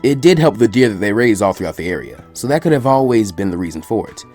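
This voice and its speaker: A man reading a story aloud as an animated narrator. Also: fairly low pitch, quick pace, faint music behind. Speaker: fan fiction reader.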